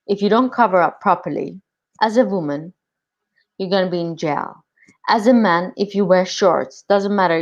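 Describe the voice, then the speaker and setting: A woman's voice: lowish voice, a little mellow, lo-fi sound. A woman from Iran, speaking emphatically in short, repeated statements as a panelist giving her opinion. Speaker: podcast panelist.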